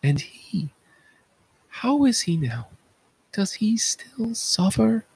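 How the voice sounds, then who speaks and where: Man acting an anguished chorus elder, pitched high. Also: big pitch swings, takes it slowly, gravelly, darkish tone, slightly rough, no echo, heavily acted, poor audio. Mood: pitying. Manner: lamenting, declamatory. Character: anguished Greek chorus elder, pitying and horrified. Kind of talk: dramatic reading of Greek tragedy.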